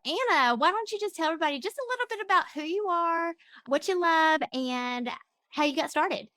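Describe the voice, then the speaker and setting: A woman's voice: very high voice, expressive, fairly quick, pushed and bright, no room sound. A woman hosting a podcast, upbeat and encouraging as she interviews a guest. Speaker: podcast host. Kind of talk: scripted intro then casual interview.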